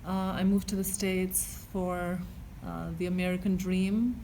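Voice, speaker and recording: A woman speaks in a fairly low, mellow voice. She tells her answers plainly and matter-of-factly, with faint ambient noise behind her. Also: flat delivery, a little slow, low-quality recording. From Albania. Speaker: art student interviewee. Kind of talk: personal interview answers.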